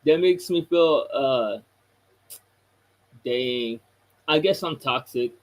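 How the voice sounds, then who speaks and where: A man's voice: medium pitch, slow. A man hosting a casual livestream chat, laughing and self-mocking, with lots of fillers. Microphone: computer mic.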